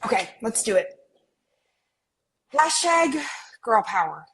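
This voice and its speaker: A woman's voice: on the high side, very animated, slow pace, fairly bright tone, slightly rough, full character voice. A woman playing an earnest, socially conscious, awkward millennial twin in a comedy table read, with casual phrasing. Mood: awkward bravado.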